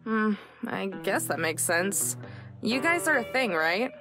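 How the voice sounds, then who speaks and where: A woman's voice: mid-range voice, big pitch swings, a little slow, music in the background, pitched above the performer's own voice. A woman voicing a guarded, wry young heroine of a visual novel in a dry, hesitant manner. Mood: wry, probing. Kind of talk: visual novel character dub.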